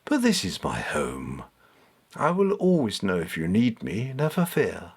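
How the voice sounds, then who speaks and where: A gentle, wise old-soul cat from a storybook, voiced by a man, talks softly in a low register. He is measured and reassuring, a loyal companion. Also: huge pitch swings, slightly rough, full character voice. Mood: tender, reassuring. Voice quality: soft, deep.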